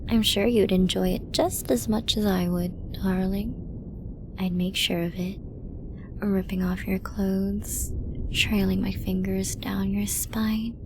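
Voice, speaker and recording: A woman playing a flirty, dominant villain in an ASMR roleplay, teasing and possessive, often slipping into an intimate whisper. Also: lightly acted. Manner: slow, teasing, breathy lapses into whispering. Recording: noisy with low rumble.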